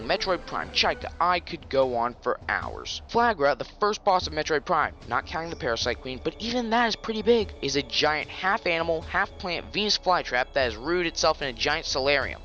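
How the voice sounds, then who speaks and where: A man narrating a gaming commentary with a sarcastic, ranting edge and casual swearing. His voice is bright and sits a little high, lifting and dropping with lively swings. Faint music plays behind him. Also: normal speed, few pauses, muffled recording. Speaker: gaming video creator. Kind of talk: scripted game commentary.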